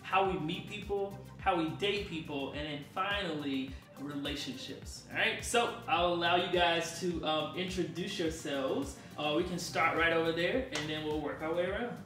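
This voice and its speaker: Upbeat guy hosting a group chat, bright tone, roomy room, bit far off. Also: on the high side, normal speed, hardly any pauses. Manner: upbeat, laughs often. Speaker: host. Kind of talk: casual group talk. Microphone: lapel. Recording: roomy, from off, music behind.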